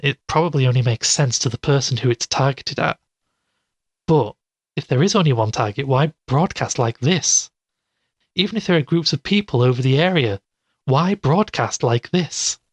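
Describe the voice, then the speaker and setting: Sceptical male podcaster played by a man for audio drama; medium-pitched, hesitant, musing, very dry studio sound. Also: slightly rough, no echo. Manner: hesitant, thinking aloud, dryly sceptical. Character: sceptical male amateur investigator podcaster puzzling aloud. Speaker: podcast host character.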